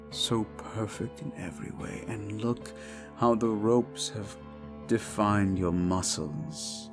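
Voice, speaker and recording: A man voicing an unhinged, paranoid, possessive young prince in an audio drama, speaking softly and sometimes in a menacing whisper. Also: on the low side, creaky. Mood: adoring. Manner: soft, slow, often whispering, menacing. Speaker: voice actor. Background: background music.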